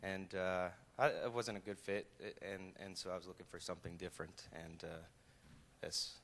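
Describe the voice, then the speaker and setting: A man's voice: deep voice, little pitch movement, moderate pace, a bit bright. Guy trailing off, far from the mic, reverby room, faint hum. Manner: hesitant, trailing off. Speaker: graduating student.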